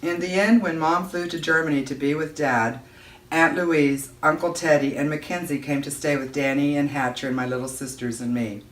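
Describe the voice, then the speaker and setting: A woman giving an expressive read-aloud of a children's novel, narrating as a storyteller. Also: deep voice, moderate pace. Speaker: audiobook-style reader. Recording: roomy echo, distant microphone.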